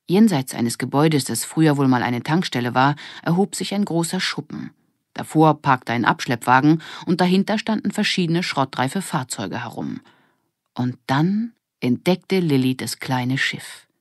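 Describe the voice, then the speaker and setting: Low-voiced woman narrating a children's audiobook. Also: a little mellow, no room sound, clean studio sound. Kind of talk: scripted children's story narration.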